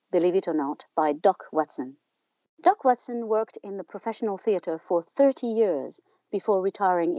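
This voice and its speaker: A woman's voice: mid-range voice, a little mellow, no room sound. A woman hosting a podcast, reading introductions and putting questions to a guest. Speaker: podcast host, interviewer. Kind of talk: scripted introduction and interview. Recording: dull, dry, band-limited sound.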